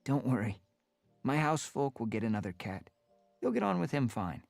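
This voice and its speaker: Rusty, a restless young tomcat in a storybook, earnest and determined, with a lively rising and falling voice and a dry close sound. A young house cat itching for the wild, as a male narrator plays him. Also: mid-range voice, normal speed, creaky, dry recording, faint music behind, voice pushed up.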